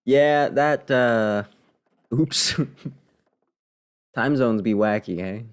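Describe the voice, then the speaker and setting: A man's voice: mid-range voice, very slow, clear, resonant tone. A man who streams games, giving casual, flippant live commentary in muttered asides over the gameplay. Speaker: game streamer. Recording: game music behind the voice.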